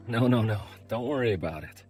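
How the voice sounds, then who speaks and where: A man's voice: medium pitch, lively intonation, fairly quick, darkish tone, soft background music, close to an everyday voice. A man playing a modest, earnest android adventurer in an audio drama, speaking plainly and a little hesitantly. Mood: friendly, modest.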